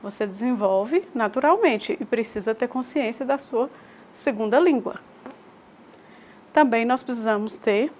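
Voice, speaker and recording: A Brazilian woman with a fairly high voice teaches a recorded online class. She builds her points through rhetorical questions and repetition, pausing to check with her students. Her delivery is patient and explanatory. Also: darkish tone, slight background noise. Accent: Brazilian Portuguese.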